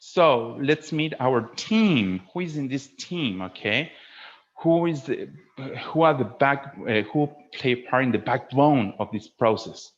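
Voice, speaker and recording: A man's voice: medium pitch, expressive, a little slow. Man lecturing in a dull-sounding webinar. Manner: didactic, often ending phrases with a checking "okay?". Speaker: teacher giving a webinar. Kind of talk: webinar lecture to fellow teachers.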